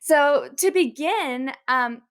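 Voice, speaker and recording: A woman's voice: high-pitched, expressive, slow pace, a bit bright, no room sound. A woman hosting a conservation podcast, reading an upbeat scripted intro and then chatting with a guest and reacting with laughs and exclamations. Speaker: podcast host.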